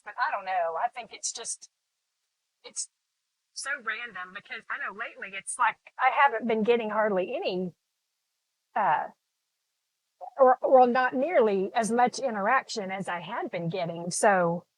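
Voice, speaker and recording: A woman's voice: on the high side, a little slow, no echo, low-quality recording. A middle-aged woman with a Texan accent, chatting casually with viewers while she paints on a live stream recorded on her phone. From Texas. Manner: chatty, rambling, greets viewers by name. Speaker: art instructor live-streaming. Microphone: phone camera.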